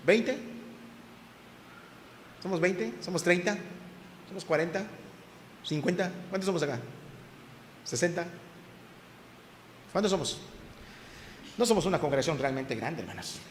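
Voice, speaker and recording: A man's voice: high-pitched, a little hiss or hum. A man preaching a sermon to his congregation, asking them questions and raising his voice for emphasis. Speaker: pastor. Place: church hall. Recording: echoey church hall, faint ambience.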